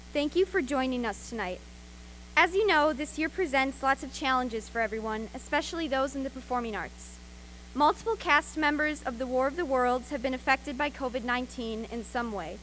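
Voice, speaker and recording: A woman's voice: high voice, a little fast, a bit bright, rough recording. A young woman, a student theater member, delivering a prepared, formal announcement to an audience. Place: school gym stage, live-streamed. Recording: live gym event with faint hum.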